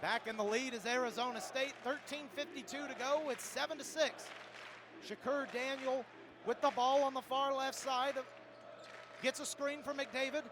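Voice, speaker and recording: A man's voice: extremely high pitch, fairly even intonation, normal speed. A man calling a game live as a radio play-by-play announcer. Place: indoor sports arena. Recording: noisy live sports arena broadcast.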